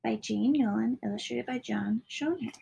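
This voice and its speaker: A woman narrates a children's picture book in a fairly high voice that is heavily creaky and husky. Her delivery is that of a storyteller, with soft, hushed line endings. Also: normal speed, lo-fi sound. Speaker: storybook reader.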